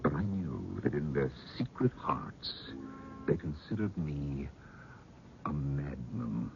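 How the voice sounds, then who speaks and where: An actor playing a haunted older man, telling ghost tales in a halting, dramatic old-time radio style with muttered pauses. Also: a bass voice, big pitch swings, gravelly, over music, full character voice. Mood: brooding, bitter. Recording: muffled phone-like radio recording, faint noise.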